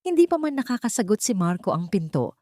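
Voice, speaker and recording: A woman's voice: mid-range voice, very animated, fast talker, dark tone, no room sound, clean studio sound. A woman narrating a scripted audio story in a dramatic, suspenseful manner.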